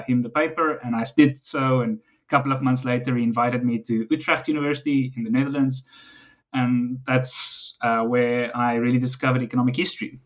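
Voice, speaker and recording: A middle-aged South African man speaks as an academic guest in an interview. His delivery is conversational and explanatory, with an anecdotal, storytelling way of answering. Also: mid-range voice. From South Africa, Western Cape.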